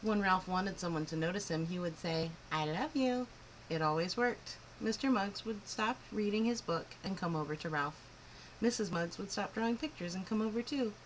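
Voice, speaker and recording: A woman's voice: medium pitch, normal speed. Woman reading a children's story, noisy background. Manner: expressive, clearly phrased, with emphatic swoops. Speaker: storyteller reading a picture book aloud to children. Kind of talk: animated children's story narration.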